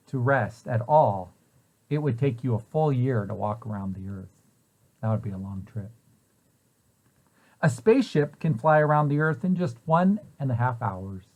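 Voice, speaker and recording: Man reading aloud, very animated pitch. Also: rough recording. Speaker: preschool site director reading aloud. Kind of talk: children's read-aloud of a picture book.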